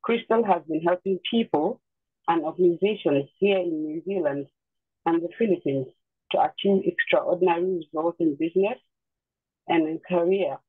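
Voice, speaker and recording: A woman's voice: on the low side, moderate pace, breathy edge, dry recording. A woman hosting a webinar, giving a polite, formal welcome and guest introduction over a video call. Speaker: webinar host. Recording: tinny, phone-like webinar audio.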